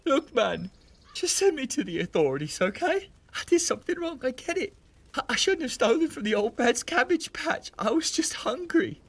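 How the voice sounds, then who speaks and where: A desperate young male thug in an anime audio drama, voiced by a woman. The pitch is high and leaps around, with a husky strain. The delivery is pleading and defensive. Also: very animated, normal speed, exaggerated voice.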